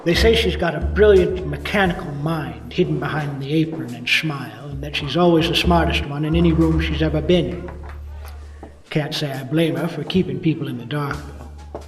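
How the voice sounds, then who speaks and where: A man's voice: fairly high pitch, normal speed, faint music behind, close to an everyday voice. Man acting a world-weary noir detective, roomy echo. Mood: brooding, wry. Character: weary, wisecracking 1950s male police detective. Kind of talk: hardboiled noir radio acting with first-person narration.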